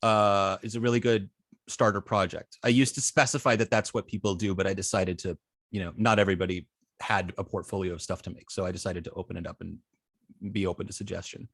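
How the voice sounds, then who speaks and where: A man teaching informally as an instructor, explaining in a halting, hesitant way with many filled pauses and false starts. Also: low voice, steady tone, no room sound. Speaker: web design instructor.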